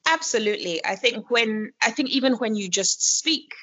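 A Kenyan-raised woman who works as a storyteller, talking in a relaxed interview with many restarts. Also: on the high side, normal speed. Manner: warm, reflective, with frequent restarts and laughs. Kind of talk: conversational interview. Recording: dull lo-fi audio.

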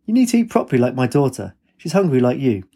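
A man's voice: mid-range voice, very animated, fast, dark tone, breathy edge. A man voicing a boisterous, big-hearted middle-aged father in a story, teasing and forthright. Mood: brash.

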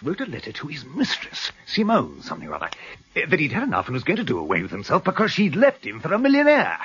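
An actor playing an excitable English court reporter in a British radio drama, husky, chatty and urgent. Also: male voice, medium pitch, muffled recording. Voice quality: husky, rough. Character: excitable, hard-bitten newspaper court reporter.

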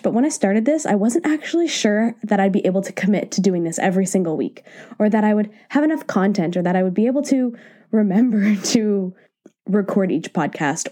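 A woman's voice: mid-range voice, dark tone. A woman host with a cold, slightly nasal and stuffed up, chatting casually and encouragingly on her podcast from her home office. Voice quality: a little nasal. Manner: chatty, encouraging, self-deprecating. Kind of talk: casual solo podcast talk. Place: home office, sitting on the floor.

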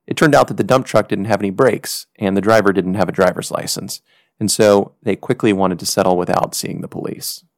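Guy reading his podcast story, low and kinda gravelly, dry close sound, faint music under it. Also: fairly even intonation, no room sound. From United States. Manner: earnest, reflective, measured delivery. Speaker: podcast host. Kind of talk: scripted storytelling narration.

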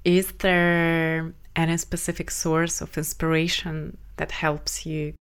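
Slow, low-voiced woman interviewer. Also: flat delivery, smooth. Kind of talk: interview questions.

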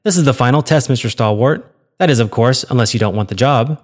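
A man's voice: mid-range voice, fast talker, natural voice. A man voicing a cool, controlling female corporate interviewer in a read-aloud story. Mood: cool, commanding. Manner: clipped, commanding.

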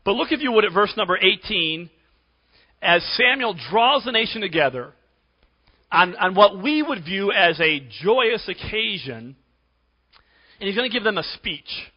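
A pastor preaching a sermon to his congregation while losing his voice, often clearing his throat. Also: sits high, big pitch swings, unhurried, long pauses. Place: church sanctuary. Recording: dull recording in a reverberant church.

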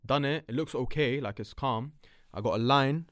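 A man, telling stories fast and loose in casual chat, full of slang and swearing. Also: mid-range voice, fairly bright tone, dry recording, clean studio sound. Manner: rapid, slangy storytelling, swears freely.

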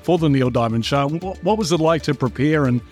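A man's voice: a little high, fairly quick, a little mellow, soft background music. New man hosting a podcast. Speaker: podcast host. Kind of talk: casual interview.

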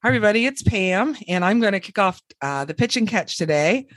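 A woman in her sixties with a hoarse voice from a cold, chatting casually as a podcast co-host and laughing between phrases. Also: mid-range voice, animated, brisk, dry recording.

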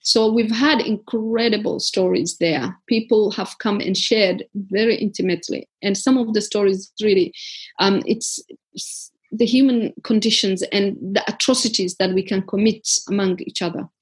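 A woman's voice: medium pitch, steady tone, moderate pace, husky. A woman from Africa, founder of a community garden, telling her story earnestly in an interview, with many fillers and restarts.

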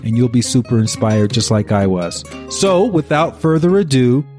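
A man's voice: mid-range voice, moderate pace, darkish tone, soft background music. A man hosting a podcast, introducing his guest with enthusiasm and then interviewing him. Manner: enthusiastic, upbeat. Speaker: podcast host.

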